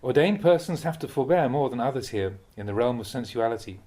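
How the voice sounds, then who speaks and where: A man with a medium-pitched voice reads Buddhist teachings aloud. His delivery is measured and expressive, in the manner of an audiobook of a spoken dharma talk. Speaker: Buddhist monk reading translated teachings aloud.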